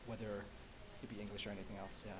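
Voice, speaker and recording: Man, interviewee, tentative and hedging, low voice. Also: level tone, quick pace, crowd noise. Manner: tentative, hedges with "I guess". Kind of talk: interview answers. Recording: background noise and hiss.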